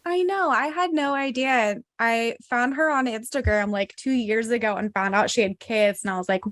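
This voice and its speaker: High-voiced woman podcast host, dry sound. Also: moderate pace, no echo. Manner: relaxed, friendly questioning, shares own stories. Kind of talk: casual interview.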